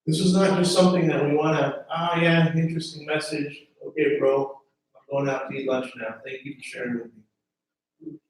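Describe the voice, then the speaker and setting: A man's voice: fairly high pitch, steady tone, normal speed. A man preaching a sermon as pastor, earnest, often repeating words as he starts a phrase. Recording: very echoey room from a distance.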